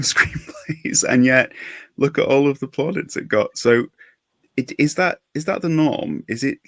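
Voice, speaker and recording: A man's voice: medium pitch, dry recording, studio quality. A man hosting a podcast interview, asking his questions in a casual, conversational way.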